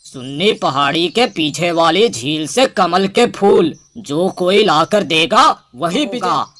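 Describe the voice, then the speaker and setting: A woman's voice: fairly low pitch, expressive, slow, fairly bright tone, no echo, heavily acted. A woman voicing an authoritative male lion king in a cartoon moral story, commanding and admonishing. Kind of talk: animated animal moral story.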